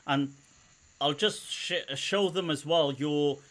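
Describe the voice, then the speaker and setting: A man's voice: a little high, takes it slowly, fairly bright tone. A man hosting an interview, introducing his guest in a friendly way, laughing easily and using many fillers. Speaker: host.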